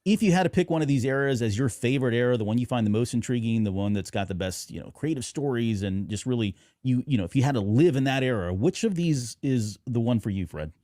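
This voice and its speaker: A man's voice: medium pitch, animated, fast, few pauses, dark tone, no echo, clean studio sound. A man hosting a podcast in a casual chat, informal and rambling as he talks with his guest. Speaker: podcast host.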